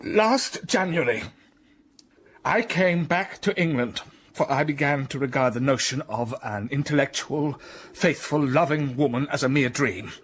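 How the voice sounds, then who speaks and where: Guy playing brooding gentleman telling his past in a radio play, slow and heavy, bit of hiss behind. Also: mid-range voice, close to an everyday voice. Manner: slow, brooding storytelling with sudden rises of passion. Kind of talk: radio drama acting.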